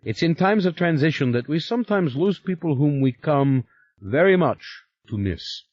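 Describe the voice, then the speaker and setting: A big, smooth male envoy with a Scandinavian accent tinged with Eastern Europe, speaking in a patient, conciliatory way, as a narrator does him. The read sounds dry and a little dull. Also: on the high side, lively intonation, normal speed, darkish tone, no echo, voice pushed up. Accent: Scandinavian with Eastern European touch.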